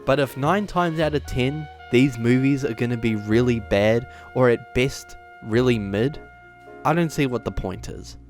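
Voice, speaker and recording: A man hosting a scripted but casual, opinionated video review, with sarcastic, exaggerated emphasis and drawn-out words. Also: mid-range voice, soft background music. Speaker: video essay host and reviewer.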